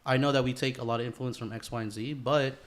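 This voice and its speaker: Podcast host, male, quick delivery, slangy bro-and-dude banter, mid-pitched voice. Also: fairly even intonation. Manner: slangy, calls listener bro and dude, swears freely. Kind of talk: casual chat.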